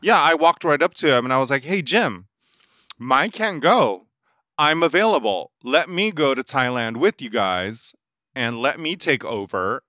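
A man in his forties, a makeup artist, telling stories in a casual interview, animated and laughing often as he acts out dialogue. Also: mid-range voice, a little slow, no echo, studio quality.

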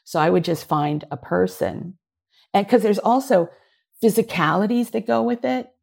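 A woman actor being interviewed, telling lively stories, often laughing and briefly acting out voices. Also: medium pitch, moderate pace, vocal fry, breathy edge. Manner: animated, laughing, acts out voices and gestures. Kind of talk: conversational interview storytelling.

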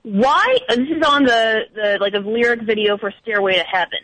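High-voiced young woman calling in. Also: normal speed, fairly bright tone, no echo, rough recording. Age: young adult. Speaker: caller. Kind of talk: casual chat and reading comments aloud.

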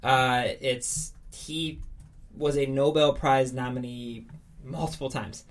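A man's voice: medium pitch, fairly even intonation, slow pace. Enthusiastic man chatting about recommendations. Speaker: podcast co-host. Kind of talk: casual book chat.

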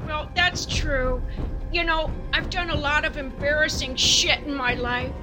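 A woman's voice: high-pitched, steady tone, a little slow, low-quality recording. A woman playing a brazen, self-absorbed diva pop goddess in a campy comic radio play, theatrical and blasé. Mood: exasperated, confiding. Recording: music under the voice.

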